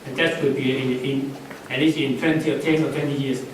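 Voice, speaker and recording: A middle-aged man whose first language is Cantonese, giving halting, unscripted closing remarks at a conference, with many fillers and restarts. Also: mid-range voice, little pitch movement. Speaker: conference speaker. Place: conference hall. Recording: echoey hall, far from the mic, faint noise.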